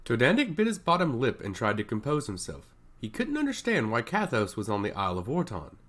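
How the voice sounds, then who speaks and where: Storyteller with a highly animated delivery. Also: brisk. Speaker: narrator reading a fantasy story. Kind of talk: scripted narration of fantasy fiction with dialogue.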